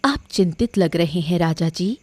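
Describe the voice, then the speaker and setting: A woman voicing a sharp-tongued, disapproving queen in a cartoon, with a pointed, questioning delivery. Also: on the low side, animated, moderate pace, husky. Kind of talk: Hindi cartoon dialogue acting.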